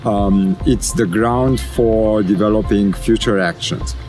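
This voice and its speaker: A middle-aged man speaks in a low voice, earnest, with small fillers as he answers questions outdoors. Faint music plays behind. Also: steady tone, takes it slowly, darkish tone. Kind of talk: interview answers.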